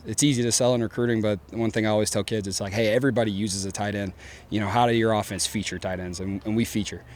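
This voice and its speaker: A man from East Texas with a fairly low voice and a strong East Texas accent. He rattles along quickly in an easygoing, upbeat way, full of fillers and restarts, answering press questions as a football coach. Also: a little mellow. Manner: casual, filler-heavy, upbeat.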